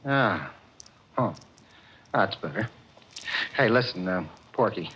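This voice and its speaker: Excitable, animated oil rig worker. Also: male voice, on the low side, takes it slowly, slight background noise. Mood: brisk, bossy. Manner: bossy, urgent, insistent. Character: excitable, commanding male oil rig workmate. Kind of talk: old-time radio drama acting. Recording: muffled vintage radio broadcast, faint music.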